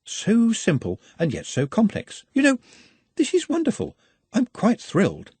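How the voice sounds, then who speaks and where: Guy doing a small posh polite young fella, careful and slow, kinda husky. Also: high-pitched, huge pitch swings, darkish tone, no room sound, voice pushed up, exaggerated voice. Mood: earnest lecturing. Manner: formal, precise, lecturing. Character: small, posh, earnest young man, eager to please.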